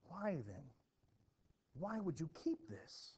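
A man voicing an earnest, formal minister from colonial times, measured and questioning, in a play reading. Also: high-pitched, very animated, a little mellow, voice pushed up, full character voice. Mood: astonished. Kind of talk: expressive classroom play reading. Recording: dull, noisy home recording.

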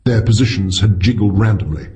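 A man's voice: on the low side, a little fast, a little mellow, soft background music. A man narrating a science fiction short story as a scripted audiobook.

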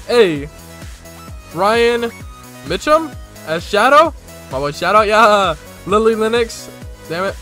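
Bright, high-pitched young man reacting. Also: expressive, slow pace, long pauses, faint music behind. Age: young adult. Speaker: reaction video host. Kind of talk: casual reaction commentary. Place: small room, desk setup. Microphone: close desktop microphone.

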